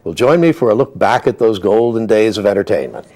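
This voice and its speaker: Lively male host over music. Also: on the low side, brisk. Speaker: talk show host. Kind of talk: scripted show introduction.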